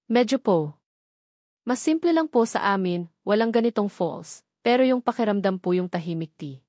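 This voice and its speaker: A woman's voice: medium pitch, animated, normal speed, dark tone, no room sound, clean studio sound, lightly acted. A woman voicing a shy, polite young female office employee in romance audiobook dialogue, hesitant and deferential.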